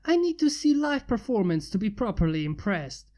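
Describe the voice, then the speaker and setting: A composed male inventor with stiff, exact phrasing, voiced by a woman reading a story. The tone is mellow and level, never rushed. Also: medium pitch, sing-song, natural voice. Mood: formal. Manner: stiff, precise, formal. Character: formal calm male human inventor.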